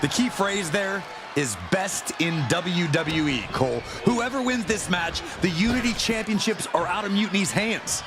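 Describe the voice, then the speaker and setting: A man playing an excitable, opinionated wrestling color commentator in a video game, speaking emphatically. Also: high voice, moderate pace, noisy background. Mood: emphatic, excited. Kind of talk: sports commentary. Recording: roaring arena crowd and loud music.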